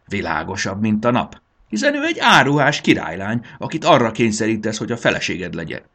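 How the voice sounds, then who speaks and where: Storybook dragon, ancient male, acted by a male reader: cantankerous, croaking bluster, husky, with big swings in pitch. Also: huge pitch swings, bright, forceful tone, heavily acted. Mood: indignant. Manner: croaking, bellowing, hissing. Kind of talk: dramatized children's storybook reading.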